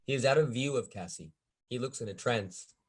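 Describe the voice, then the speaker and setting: A man's voice: medium pitch, brisk, husky. A man reading stage directions as the narrator of a script table read.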